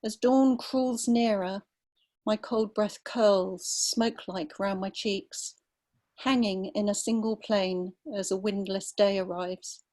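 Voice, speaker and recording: An older woman with a southern English accent reads her own prose. Her delivery is unhurried and measured, at a mid-range pitch, in a literary reading style. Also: steady tone, darkish tone. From London, England. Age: 50s or older. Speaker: writer reading own story. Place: online video call.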